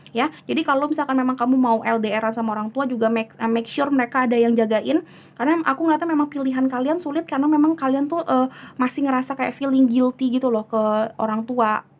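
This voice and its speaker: A hoarse woman giving a chatty tarot reading, soothing and unhurried, often slipping into English words. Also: high-pitched. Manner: soothing, chatty, many fillers. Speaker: tarot reader.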